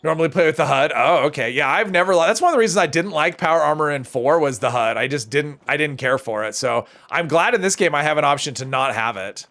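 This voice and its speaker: A man with a somewhat high voice and a gravelly edge. He chats casually with viewers while playing a game on a live stream, answering their questions as he goes. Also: animated, fast talker, hardly any pauses, fairly bright tone. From United States. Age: older than high school, adult.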